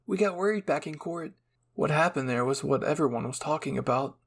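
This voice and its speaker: A man's voice: fairly high pitch, a little fast, creaky. A man voicing a worried, affectionate young woman soldier in an anime fan fiction roleplay. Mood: concerned.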